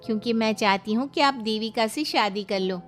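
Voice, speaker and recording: A woman's voice: a little high, moderate pace, faint music behind, lightly acted. A woman voicing a spiteful, scheming young sister-in-law in a cartoon family story, insistent and complaining. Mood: persuading.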